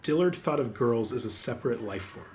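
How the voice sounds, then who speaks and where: A man's voice: medium pitch, lively intonation, brisk, a little mellow, slight background noise. A man reading scripted audiobook narration as the narrator.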